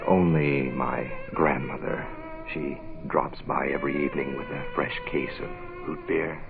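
An American man playing a cool, wry hard-boiled private investigator in a 1960s radio drama, laconic and deadpan. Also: extremely low pitch, measured pace, creaky, heavily acted. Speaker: lead actor and narrator. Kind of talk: 1960s radio detective drama acting, first-person narration. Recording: muffled phone-like old radio, music behind.

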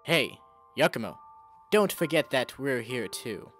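A man acts a confident, haughty deity for a fan comic dub. The pitch moves widely and the tone is shrewd and faintly mocking, with music underneath. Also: very animated, unhurried, fairly bright tone. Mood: assertive. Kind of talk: amateur comic dub acting.

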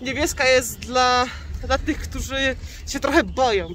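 A young woman with a high, bright voice talks excitedly and jokes with her viewers. She speaks into a phone held at arm's length outdoors. Loud wind and snow noise sit under her voice. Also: a little slow. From Kalisz, Poland. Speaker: vlogger. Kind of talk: casual vlog chat to viewers. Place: outdoor ski slope at night in snowfall.

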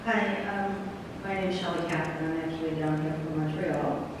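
High-voiced man asking from the audience. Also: fairly even intonation, moderate pace. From Syracuse, New York. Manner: polite, talkative, hesitant. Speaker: audience member asking a question. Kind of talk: conference Q&A question. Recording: far-off mic, echoey hall, background noise.

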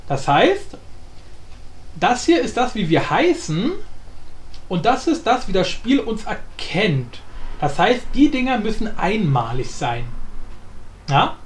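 A man hosting a casual, unscripted software tutorial, thinking aloud informally and repeating himself. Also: sits high. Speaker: tutorial host. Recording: roomy echo with faint background noise.

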